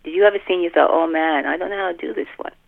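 A middle-aged woman talking casually in a podcast interview as an author, halting and often repeating and rephrasing her phrases. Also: on the low side, gravelly. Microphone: telephone. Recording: muffled, tinny telephone line.

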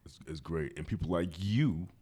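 A man's voice: deep voice, moderate pace, warm tone, husky. A man hosting a podcast, asking questions in an easygoing way with joking asides. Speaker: podcast host. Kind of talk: casual interview chat.

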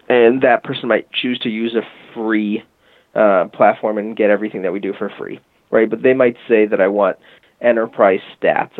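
Man on a tinny phone line, gravelly and low. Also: no room sound. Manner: explains at length with many fillers and restarts. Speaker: guest from a music marketing company. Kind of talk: casual podcast interview.

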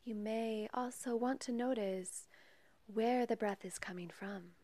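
Female meditation guide, unhurried and gentle, soft breathy delivery with whispery edges, very dry close sound. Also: on the high side, dry recording. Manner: calm, measured, gentle, with long pauses. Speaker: meditation guide and podcast host.

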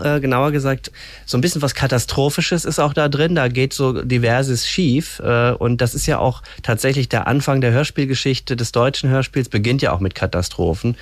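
A man being interviewed, explaining a project in a halting, explanatory way. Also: mid-range voice, dry recording. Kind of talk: interview talk.

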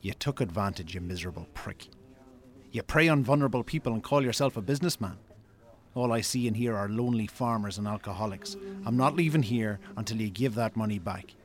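A man acting in a radio drama as a stern Irishman, confrontational and deliberate, with cold threats. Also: on the low side, a crowd in the background, natural voice. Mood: angry, accusing. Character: stern, menacing Irish brother, coolly threatening.

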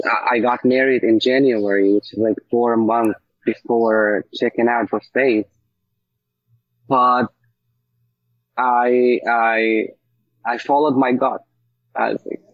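A man from Syria, a native Arabic speaker, telling his story casually as an interview guest, halting as he searches for words. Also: mid-range voice. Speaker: coffee shop owner, interview guest. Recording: dull, muffled lo-fi audio.

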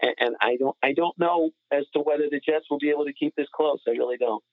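A man's voice: fairly high pitch, fast talker, no room sound. A man talking casually about sports as a podcast co-host, over a phone-like connection.

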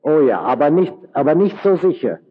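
An older man from Austria with a Viennese accent worn down by decades abroad, slowly and thoughtfully teaching a workshop audience. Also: on the high side, big pitch swings, phone-quality sound, background noise. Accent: Viennese German, worn by years in the US. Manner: slow, thoughtful, searching for words. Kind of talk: lecture with audience questions. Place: lecture hall with live audience.

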